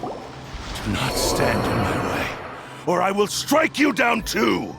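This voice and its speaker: A man's voice: high voice, huge pitch swings, slow pace, gravelly, full character voice. An actor voicing an arrogant, ruthless sentient sword that possesses a man, speaking in a cold, proud and menacing way. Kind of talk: video game voice acting. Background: game background music.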